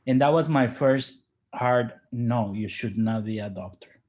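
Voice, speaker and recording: A man from Ecuador, a native Spanish speaker, giving personal reflections as an interview guest in a halting, thoughtful way. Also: medium pitch, darkish tone. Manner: halting, thoughtful, with repeated word starts.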